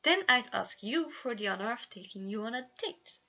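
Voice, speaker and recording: Woman acting a dramatic princely young man, bright voice. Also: a little high, animated, moderate pace, dull audio, pitched above the performer's own voice, heavily acted. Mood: casual courtly. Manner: dramatic, courtly.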